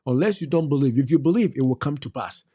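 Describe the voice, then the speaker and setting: A man's voice: medium pitch, expressive, quick pace, warm tone. A middle-aged man gives faith-based advice on a talk show. He exhorts his listeners and repeats his key phrases like a preacher. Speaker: co-host.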